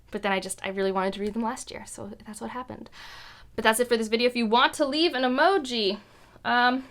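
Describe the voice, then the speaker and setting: A woman's voice: mid-range voice, fairly bright tone. Chatty, enthusiastic woman book vlogger. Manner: chatty, rambling with asides. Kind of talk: casual chat to camera about books.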